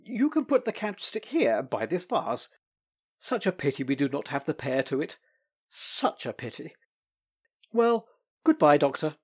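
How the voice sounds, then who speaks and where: A man's voice: fairly high pitch, big pitch swings, unhurried, long pauses, breathy edge, exaggerated voice. A man voicing a polite young male visitor in a storybook reading, light and courteous.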